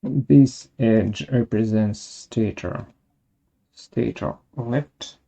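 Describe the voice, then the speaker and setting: Slow, husky, creaky software tutorial presenter. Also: medium pitch, low-quality recording. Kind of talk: step-by-step software tutorial narration.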